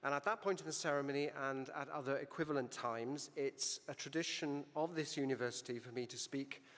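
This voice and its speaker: A man's voice: mid-range voice, brisk, fairly bright tone. Welsh man speaking in a reverberant hall. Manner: formal but encouraging, addressing a hall. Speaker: university provost. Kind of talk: ceremonial welcome speech. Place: large ceremony hall.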